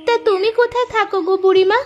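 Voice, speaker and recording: A woman's voice: very high-pitched, fairly even intonation, quick pace, highs cut off. A woman voicing a poor, earnest orphaned village girl in a moral-story cartoon. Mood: friendly. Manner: exclaiming, talks to herself aloud. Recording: music behind the voice.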